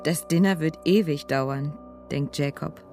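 A woman's voice: low-pitched, measured pace, a little mellow. Woman narrator over prominent music. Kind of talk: literary present-tense narration in a radio drama.

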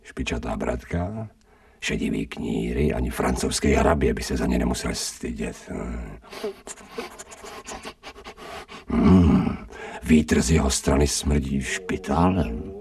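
An actor playing a scrawny, streetwise stray dog in a radio drama, grumbling in colloquial speech with sardonic self-pity. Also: male voice, a bass voice, a little mellow, recorded from a distance. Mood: appraising. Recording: 1990 radio drama, off-mic.

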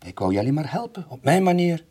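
An actor playing a weary, lonely sailor in his late forties, gentle but evasive, with a husky voice and a subdued, hesitant manner in a radio drama. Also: a little high, very animated, quick pace. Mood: resigned. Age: late 40s.

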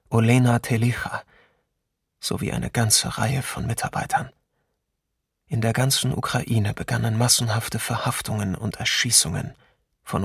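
A man as a grave, factual chronicler in a radio docudrama, with a husky voice. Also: medium pitch, fairly bright tone, no room sound, studio quality. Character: grave male chronicler of wartime executions. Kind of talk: documentary narration in radio drama.

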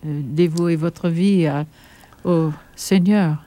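A woman's voice: on the low side, steady tone, slow, dark tone, dry recording. A woman interviewing a guest on a radio show, asking polite, inquisitive questions.

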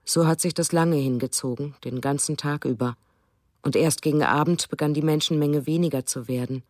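A woman playing a quietly uneasy eyewitness in a radio drama, giving hushed, deliberate testimony. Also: low-pitched, steady tone, no room sound, clean studio sound, lightly acted.

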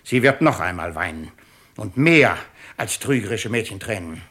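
Sardonic judge, swooping delivery. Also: male voice, fairly low pitch, animated, normal speed, fairly bright tone, slightly rough. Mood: stern, menacing. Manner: pompous, probing, mocking. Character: shrewd, sardonic male presiding judge of a French tribunal. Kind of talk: 1950s German radio play acting.

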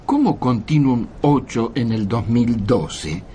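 An actor with an Argentine accent playing an old, earnest scriptwriter who narrates and questions in a radio drama. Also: male voice, medium pitch, animated, takes it slowly, dark tone, a little hiss or hum. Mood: puzzled. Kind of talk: radio drama acting and storytelling.

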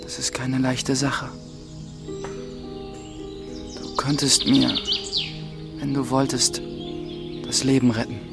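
A man's voice: medium pitch, level tone, a little slow, vocal fry, music in the background. An actor playing an earnest young apprentice who tells his own story reflectively in a German radio play. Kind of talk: radio play narration and dialogue.

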